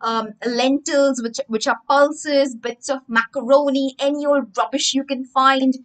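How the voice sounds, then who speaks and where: A woman's voice: sits high, steady tone, measured pace, a bit bright. Woman doing a fussy old male teacher. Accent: Indian English. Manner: rambling, stuttering restarts.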